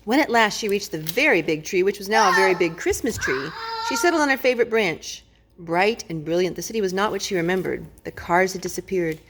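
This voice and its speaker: A woman's voice: medium pitch, animated, a little fast, fairly bright tone, a little hiss or hum. A woman reading a children's storybook aloud as a storyteller, with dramatic, emphatic phrasing.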